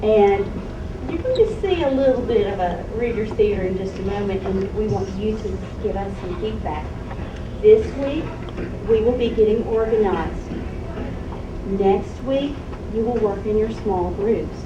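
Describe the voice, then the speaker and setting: A woman's voice: mid-range voice, expressive, a little slow, dull audio. A woman teacher instructing young children in a school library, projecting her voice across the room and often checking in with questions. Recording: echoey, noisy room, distant microphone.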